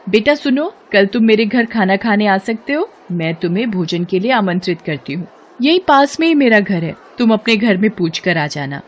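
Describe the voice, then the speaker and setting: A woman's voice: mid-range voice, animated, normal speed, few pauses, lightly acted. A woman voicing a stingy, complaining and easily exasperated housewife in a devotional storybook tale. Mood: coaxing. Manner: complaining, scheming.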